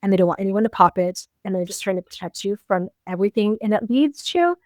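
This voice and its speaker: A woman's voice: mid-range voice, animated, quick pace, darkish tone, no room sound, clean studio sound. A woman in her fifties hosting a podcast, speaking earnestly and explaining her thoughts in casual conversation. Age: 50s. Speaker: podcast host.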